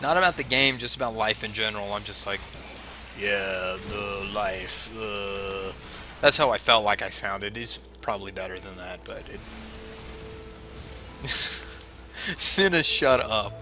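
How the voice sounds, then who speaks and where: A man's voice: fairly low pitch, measured pace, pauses often, sharp tone, a little hiss or hum. A man with a head cold and chesty cough, chatting to viewers in a rambling, self-mocking way while he plays a game. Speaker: streamer. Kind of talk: casual gameplay livestream chat. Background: game music.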